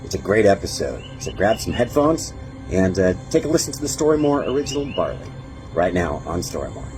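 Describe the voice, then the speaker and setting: Male voice actor, low pitch, measured promo delivery, small pauses, faint music bed. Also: very animated, low-quality recording. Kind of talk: promotional talk.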